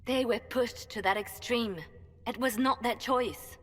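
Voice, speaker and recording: A woman's voice: on the high side, fairly even intonation, measured pace, a bit bright. A woman playing a shrewd, principled advisor in a video game, controlled and pointed. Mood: reproachful. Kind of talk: video game cutscene acting. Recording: reverberant hall, faint ambient noise.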